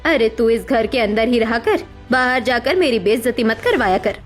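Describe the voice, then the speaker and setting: A woman's voice: fairly high pitch, expressive, moderate pace, close to an everyday voice. A woman voicing a harsh, contemptuous middle-aged mother in a dramatic Hindi cartoon story. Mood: angry, contemptuous. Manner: scolding, contemptuous. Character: harsh, scornful middle-aged mother berating her daughter. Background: music.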